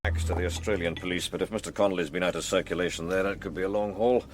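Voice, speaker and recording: An actor playing a wry, deliberate senior police detective, a man questioning his team in a radio drama. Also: deep voice, fairly even intonation, background noise, close to an everyday voice. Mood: musing, sceptical. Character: wry senior detective leading a case briefing.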